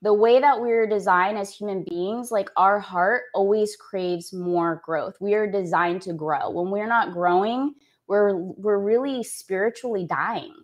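A woman's voice: mid-range voice, a little slow. A woman in her thirties from Canada, an executive coach speaking earnestly as a podcast guest, with lots of "like" fillers. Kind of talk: podcast interview.

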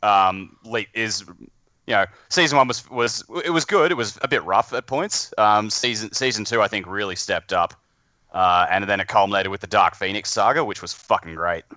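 A man with a fairly low voice and a bright, forward tone chats informally. He talks haltingly, in loose conversational bursts. The sound is very dry. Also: no room sound. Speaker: podcast guest and amateur voice actor. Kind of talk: casual chat.

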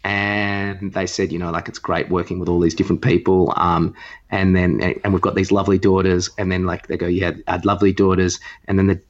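A man's voice: low voice, level tone, brisk, highs cut off. A man co-hosting a podcast, chatting casually and comically in a rambling, blunt way, swearing and acting out quotes as he recaps an awards show. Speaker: podcast co-host.

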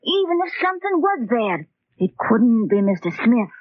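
An actress in a 1940s radio drama playing a nosy, bossy working-class woman with excitable, folksy, ungrammatical speech. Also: a little high, normal speed, dry recording. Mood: alarmed. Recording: dull, lo-fi 1940s radio recording.

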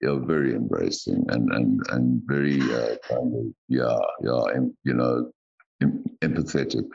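Guy rambling on a podcast, super low voice, kinda muffled audio. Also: gravelly, a little mellow. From South Africa. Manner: rambling, drawn-out emphatic repeats. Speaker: podcast co-host. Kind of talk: casual chat. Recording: dull lo-fi audio.

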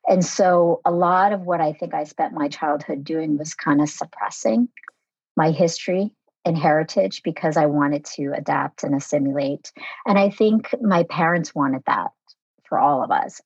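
A woman speaks reflectively as a podcast guest, often pausing on fillers. The recording is dry and a little muffled. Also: low-pitched, no echo. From Vietnam. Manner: thoughtful, reflective, with frequent fillers. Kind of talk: conversational interview.

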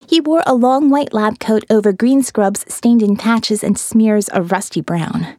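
A woman narrates a story in the first person with a fairly high voice. The sound is dry, with very little room in it, as in a produced audiobook. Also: darkish tone, no room sound.

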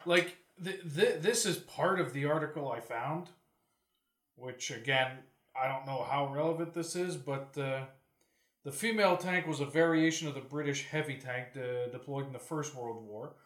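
A man's voice: mid-range voice, normal speed, fairly bright tone. A man asking questions on a podcast in casual, irreverent, slangy banter, recorded in a room. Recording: echoey room.

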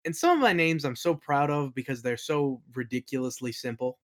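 A man's voice: medium pitch, brisk, a bit bright. A man in a casual interview, talking informally as a game master and telling anecdotes. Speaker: podcast guest, game master and worldbuilder.

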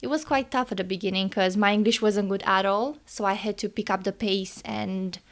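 A woman's voice: medium pitch, fairly even intonation, fairly quick. A young woman with a Romanian accent answers interview questions in an earnest, thoughtful way. Her phrasing is sometimes non-native, and she laughs easily between points. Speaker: podcast guest, engineering student.

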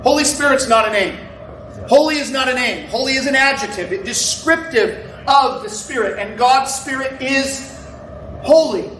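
Loud-voiced preacher in a roomy church. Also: male voice, very high voice, moderate pace, slight background noise. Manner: loud, conversational preaching with asides to the congregation. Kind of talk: sermon. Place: church sanctuary. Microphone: no microphone, recorded from a distance. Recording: roomy, distant church recording.

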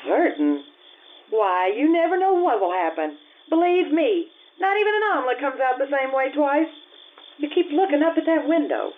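A woman's voice: sits high, big pitch swings, moderate pace, narrow, tinny audio, a little hiss or hum. A woman playing a blunt, teasing, good-humored widowed Italian cook in an old-time radio drama. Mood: frank, friendly.

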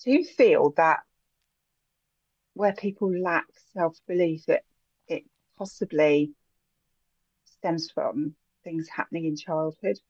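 A woman co-hosting a podcast in casual chat, talking warmly with frequent ums and little laughs. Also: sits low, slow pace, long pauses, breathy edge, no echo, muffled recording. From England. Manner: chatty, giggly, hesitant with many ums. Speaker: podcast co-host.

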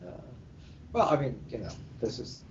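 A man's voice: medium pitch, huge pitch swings, moderate pace, a little hiss or hum. Older man telling anecdotes, muffled call sound. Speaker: book editor and panel guest. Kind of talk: casual anecdotal panel talk. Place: live convention recording over internet call. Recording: dull, muffled internet call.

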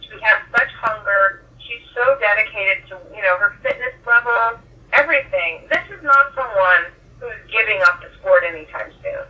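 A woman's voice: medium pitch, moderate pace. A woman chatting casually about sport as a podcast guest, speaking emphatically and with strong opinions. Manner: emphatic, opinionated, swears freely. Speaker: podcast guest, tennis fan. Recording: muffled, distant remote-call sound.

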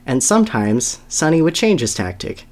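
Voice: medium pitch, sing-song, moderate pace, darkish tone. A podcast host talking casually and reading a story aloud as a dramatic reading.

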